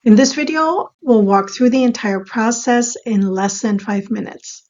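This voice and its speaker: A woman giving a scripted explainer as an instructor, in a measured, step-by-step teaching manner. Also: on the high side, a little mellow, lo-fi sound. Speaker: accounting instructor.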